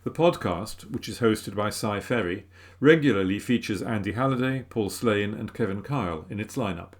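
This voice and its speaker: A man reading newspaper articles aloud in a steady, even way, recorded at home. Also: lowish voice, moderate pace. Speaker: volunteer newspaper reader. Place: home room.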